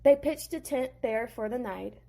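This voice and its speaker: A woman's voice: a little high, moderate pace, vocal fry, mellow. A woman narrating a dramatized Bible story in a casual, slangy, playful way.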